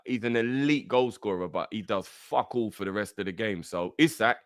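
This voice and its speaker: A man's voice: on the low side, moderate pace, no echo, clean studio sound. A man on a football podcast panel, arguing his picks emphatically and swearing casually.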